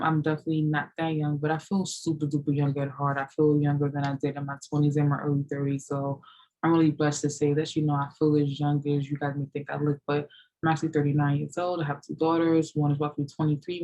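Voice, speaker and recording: A woman's voice: low-pitched, warm tone, muffled recording. A woman in her late thirties answering viewer questions in a loose, rambling chat, full of "you know" and "like". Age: 30s. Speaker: fitness vlogger. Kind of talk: casual Q&A chat.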